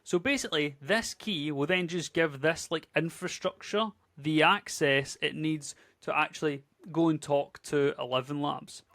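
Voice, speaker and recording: Scottish guy in his 30s, tutorial host, chatty and upbeat, bit of fry, dry close sound. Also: on the high side, unhurried, hardly any pauses, fairly bright tone, no echo. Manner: chatty, upbeat, conversational with filler words. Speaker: tech YouTuber. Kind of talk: casual screen-share tutorial.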